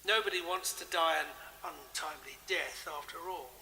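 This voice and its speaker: An actor playing a genial, chatty English country vicar with a genteel, chummy manner. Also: male voice, on the high side, normal speed, bright, forceful tone, a little hiss or hum, poor audio. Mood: genial, dismissive. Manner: genteel, chummy, dismissive of superstition. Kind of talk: audio drama acting.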